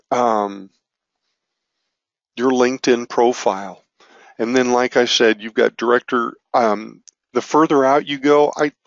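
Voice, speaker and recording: A man teaching an online business course, his voice raspy from allergies, with an occasional cough. He talks in a loose, self-correcting way, mid-pitched, on a dry, slightly muffled recording. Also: no room sound. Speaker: business marketing instructor. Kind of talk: screen-share course walkthrough.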